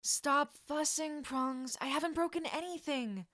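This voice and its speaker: A woman's voice: high-pitched, a little slow, a bit bright, dry recording, studio quality, pitched above the performer's own voice. A woman voicing a cocky, sardonic teenage wizard boy in a story, grumbling as he brushes off concern. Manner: sarcastic, grumbling.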